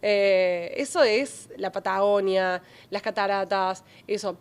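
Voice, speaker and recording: Cordoban Argentine woman in her thirties, mid-pitched, animated guest storytelling in a podcast interview. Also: takes it slowly, clean tone. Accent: Cordoban Argentine Spanish. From Córdoba, Argentina. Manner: animated storytelling with many fillers and restarts. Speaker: journalist and travel creator guest.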